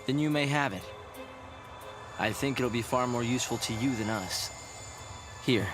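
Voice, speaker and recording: An actor playing an earnest young male research leader in a video game, speaking in a measured, polite, explanatory way. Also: mid-range voice, natural voice. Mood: calm, earnest. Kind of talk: video game English dub acting. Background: loud music.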